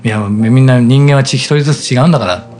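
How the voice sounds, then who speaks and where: A man's voice: mid-range voice, racing, faint music behind. An older man in casual conversation as a radio drama writer and director, acting out quoted lines as he talks. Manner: animated, acts out quoted lines. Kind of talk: casual chat.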